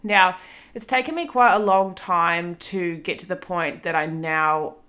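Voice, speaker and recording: A woman's voice: fairly low pitch, unhurried, pushed and bright. A woman in her thirties with a New Zealand accent, hosting a solo podcast episode in a chatty, self-deprecating way with frequent ums and small laughs. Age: 30s. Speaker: podcast host.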